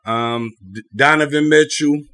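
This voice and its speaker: A man's voice: on the high side, measured pace, fairly bright tone, clear, resonant tone. A man hosting a casual panel podcast, putting questions to his co-hosts and listeners in slangy, conversational speech. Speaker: podcast host.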